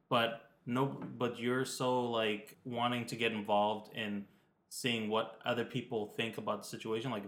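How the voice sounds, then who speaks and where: A man's voice: on the low side, fairly bright tone. A young man co-hosting a casual podcast while sick with a cold, talking in a meandering, hesitant way with many ums. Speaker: podcast co-host. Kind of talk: casual chat. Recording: echoey room.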